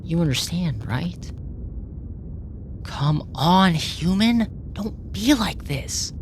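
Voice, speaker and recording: A woman's voice: expressive, drawn-out words. A woman playing a curious, earnest alien researcher in an ASMR roleplay, speaking formally and clinically, puzzled by human feelings. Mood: exasperated. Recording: noisy with low rumble.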